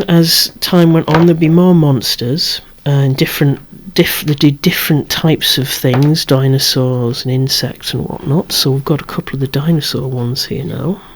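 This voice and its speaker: A person in a soft, breathy whisper, rambling hesitantly and correcting themselves while showing off a collection in ASMR style. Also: mid-range voice, slight background noise. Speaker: collector presenting a vintage toy collection. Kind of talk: whispered ASMR show-and-tell.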